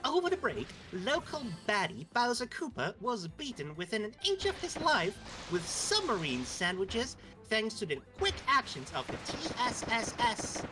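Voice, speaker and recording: Brisk cartoon award hostess, acted by a woman, high pitch, very lively intonation, music in background. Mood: announcing. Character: brisk female award-show announcer, a cartoon princess hostess. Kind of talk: dramatic story read-aloud on a stream. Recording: music behind the voice.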